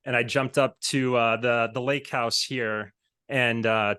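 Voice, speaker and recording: A man's voice: mid-range voice, fairly even intonation, measured pace, bright, forceful tone, clean tone. A middle-aged American man from Pennsylvania, chatting casually as a podcast host. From Pennsylvania, United States. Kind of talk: casual conversational interview.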